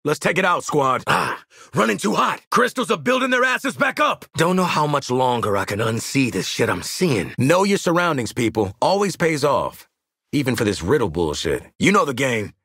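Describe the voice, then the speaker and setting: An actor playing a cocky, sardonic American mercenary marksman in a video game, with a gruff, rough voice and brash, clipped delivery. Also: mid-range voice, very animated, normal speed, bright, forceful tone, no echo, studio quality, heavily acted. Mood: cocky, dry. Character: cocky, gruff male mercenary marksman, sarcastic squad soldier. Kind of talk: video game combat voice lines.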